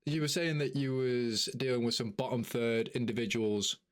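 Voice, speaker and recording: A man's voice: mid-range voice, moderate pace. Bantering male podcast host. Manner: banters, swears casually. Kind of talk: casual chat.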